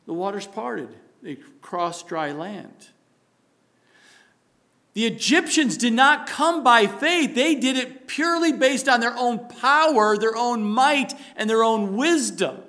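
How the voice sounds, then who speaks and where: A male preacher with a fairly high, bright voice delivers a sermon at an unhurried pace. He swings between loud, emphatic declarations and hushed, prayerful passages. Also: big pitch swings. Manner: rises to loud emphatic preaching, then drops to a soft prayerful tone.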